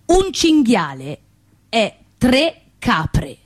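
A woman acts out an opinionated provincial Tuscan in satirical radio theatre. Her pitch swings widely as she insists on each point, emphatic and rhetorical. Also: huge pitch swings, very slow, full character voice. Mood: indignant.

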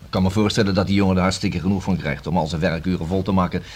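An actor in a Dutch radio play playing a methodical, collegial senior police detective who explains things conversationally, with small hesitations. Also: male voice, low-pitched, flat delivery, racing, breathy edge. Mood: chatty, musing.

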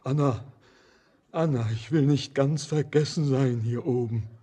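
An actor playing a haunted young stranger in a poetic radio play, declaiming in a lyrical, solemn and impassioned way with a husky voice. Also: male voice, medium pitch, unhurried, warm tone. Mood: solemn, wistful. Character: haunted young wandering stranger, fervent and doom-laden.

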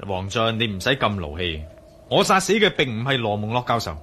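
An actor with a Hong Kong Cantonese accent playing a determined, brooding male adventurer in a radio drama, expressive and given to musing aloud. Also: fairly low pitch, a little fast, slight background noise. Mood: firm.

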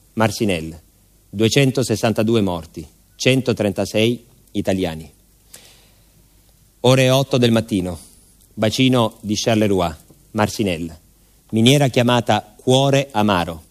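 A man giving solemn, measured, scripted documentary narration for radio theatre, with music behind him. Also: lowish voice. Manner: solemn, measured, deliberate phrasing. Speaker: actor-narrator.

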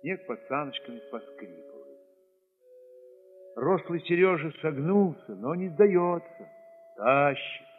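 An actor playing a war-weary, tender dance ensemble leader recalling besieged children in an emotive, measured audio-play monologue, with a husky voice. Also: male voice, medium pitch, in a large hall, phone-quality sound, close to an everyday voice. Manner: measured, emotive, with dramatic swells and hushed drops.

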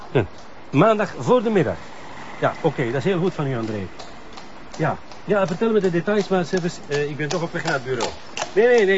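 A man's voice: a little high, muffled recording, background noise, close to an everyday voice. A man playing a polite Flemish police detective who speaks a little hesitantly in a television crime drama. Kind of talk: naturalistic TV crime drama dialogue.

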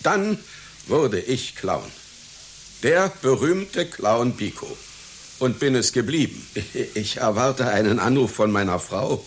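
An actor playing a pompous, proud clown with a doctorate, speaking in deliberate, formal diction in a satirical radio play. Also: male voice, medium pitch, expressive. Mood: proud, insistent. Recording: hissy radio play recording.

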